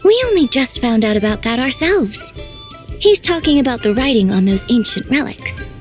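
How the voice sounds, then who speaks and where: A woman's voice: fairly high pitch, big pitch swings, faint music behind. A formal, composed young lady. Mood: explaining. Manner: measured, formal, explanatory. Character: polite, composed young woman, formal and courteous. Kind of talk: video game voice acting.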